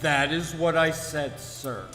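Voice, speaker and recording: Man playing a stiff, deferential waiter, high-pitched. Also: takes it slowly, pushed and bright, slight background noise. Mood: stiffly polite. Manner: formal, pedantic, obsequious. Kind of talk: comic stage radio-play dialogue. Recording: echoey hall, live stage.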